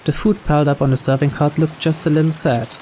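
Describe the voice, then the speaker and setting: A man reading scripted visual novel narration aloud in a measured storyteller's manner. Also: fairly high pitch, steady tone, a little mellow, a little hiss or hum.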